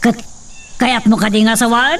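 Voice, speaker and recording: A woman's voice: fairly high pitch, level tone, normal speed, a bit bright, close to an everyday voice. A woman with an Ilocano Filipino accent playing a ruthless, scheming, imperious mother in a radio-style drama. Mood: goading, cruel. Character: ruthless scheming mother of a villainous young master. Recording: distant mic, faint background noise with insects.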